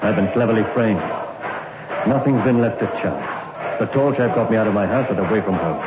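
A man plays a condemned prisoner protesting his innocence in a low, quiet, weary voice. It is an old radio play in a roomy studio, sounding dull and lo-fi with a clear hiss under it. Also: animated, fairly quick, a loud crowd, close to an everyday voice. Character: condemned man, framed, resigned and bitter. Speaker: prisoner awaiting hanging. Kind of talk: 1960s radio drama acting.

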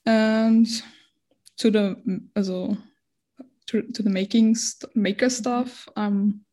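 A woman's voice: medium pitch, mellow. A woman in her twenties from Austria, speaking English as a non-native language as an interview guest, hesitant and searching for words. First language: not English. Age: 20s. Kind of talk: casual interview conversation.

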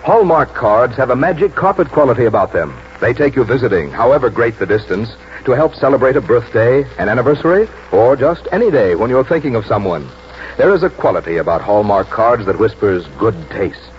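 A man's voice: medium pitch, sing-song, brisk, a little hiss or hum. A man with an American accent announcing in a polished, declamatory 1940s radio style. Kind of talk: 1940s scripted announcing and advertising. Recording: muffled 1940s radio recording. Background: loud music.

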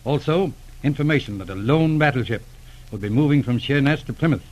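A man playing an earnest, dutiful police officer in a 1940s radio drama, speaking in a brisk, matter-of-fact way. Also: mid-range voice, expressive, lightly acted. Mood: explanatory.

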